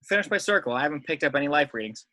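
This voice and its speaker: Guy doing a young rebel pilot in a script read, talks fast, voice jumps around, eager. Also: a little high, animated, pushed and bright, no echo. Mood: businesslike. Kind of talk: amateur script table read.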